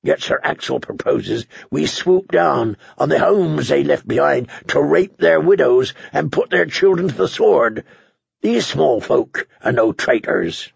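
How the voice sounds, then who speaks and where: A blunt, earnest knight of humble birth, played by a male narrator speaks in a fantasy audiobook. His voice is husky and creaky, his words slow and emphatic. The sound is dry. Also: a little high, lively intonation, dry recording, muffled recording, heavily acted. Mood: impassioned pleading. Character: blunt, earnest lowborn knight pleading boldly.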